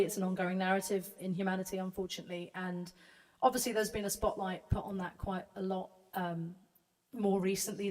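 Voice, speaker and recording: A singer-songwriter, a woman, chatting casually between songs, hesitant and full of fillers. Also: on the low side. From Britain. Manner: hesitant, many fillers and restarts. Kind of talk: casual chat introducing a song. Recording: reverberant room, distant mic, music behind.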